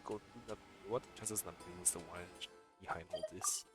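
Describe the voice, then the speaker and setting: A man's voice: low voice, fairly even intonation, normal speed, over music. A man giving casual let's play commentary as he streams a video game. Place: live game stream, game music behind.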